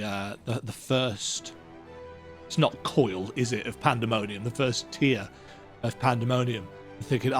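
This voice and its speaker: A man giving rambling, chatty commentary as he plays through a video game. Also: medium pitch. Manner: rambling, self-interrupting, chatty. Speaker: gaming YouTuber. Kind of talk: casual game playthrough commentary.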